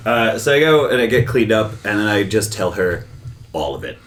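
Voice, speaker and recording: A man's voice: lowish voice, very animated, normal speed, a crowd in the background. A man playing a tabletop game, casually joking as he describes what his character does. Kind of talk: casual joking banter in play. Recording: roomy echo with background noise.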